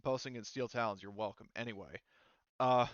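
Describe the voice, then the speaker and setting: A man running a tabletop game as game master, improvising narration in a halting, casual way. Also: mid-range voice, fairly even intonation, dry recording, highs cut off.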